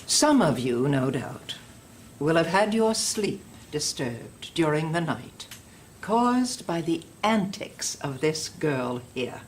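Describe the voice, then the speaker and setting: An actress playing a stern, sanctimonious older woman indoctrinator in an audio drama, measured and condescending. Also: deep voice, sing-song, slight background noise, exaggerated voice. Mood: stern, reproachful.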